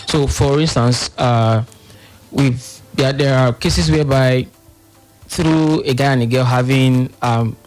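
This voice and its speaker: A man with a Nigerian accent speaking as a radio panel guest, halting and searching for his words as he explains. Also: medium pitch, fairly even intonation, darkish tone, faint music behind.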